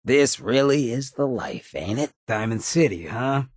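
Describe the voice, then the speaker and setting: Game companion casting: an irreverent, swaggering male ghoul, heavily rasped and dry-miked, wry slangy drawl, loyal but cutting. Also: mid-range voice, sing-song, measured pace, dry recording. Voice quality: raspy, gravelly. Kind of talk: video game companion voice lines.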